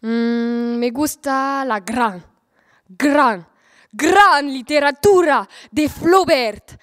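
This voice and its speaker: A young-sounding woman plays a pompous, lovesick knight of Spain in a radio comedy. The voice is high and close, with little room around it. Each phrase is delivered grandly and full of self-admiration. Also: extremely slow, fairly bright tone, no echo, full character voice. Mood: dreamy. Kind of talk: student radio comedy acting.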